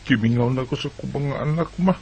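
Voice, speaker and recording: A man's voice: mid-range voice, a little fast, gravelly, darkish tone, slight background noise, natural voice. A man playing a lonely husband who is searching for his lost wife and children, speaking in a weary, plaintive way. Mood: downcast. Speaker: son. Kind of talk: radio drama acting.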